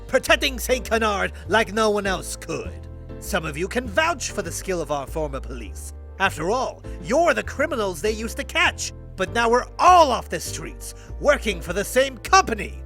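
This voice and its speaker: A self-important cartoon duck crimefighter past his prime. The delivery is theatrical and swings widely in pitch, bright and forceful, with a creaky edge. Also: full character voice. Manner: theatrical, grandiose declamation. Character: pompous male duck masked superhero, past his prime. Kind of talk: amateur comic dub of a superhero cartoon.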